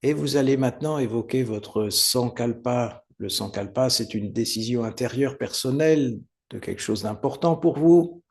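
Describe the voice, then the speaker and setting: A man teaching guided meditation, giving unhurried, soothing step-by-step instructions with pauses. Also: medium pitch, gravelly, a little mellow. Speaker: yoga nidra meditation teacher.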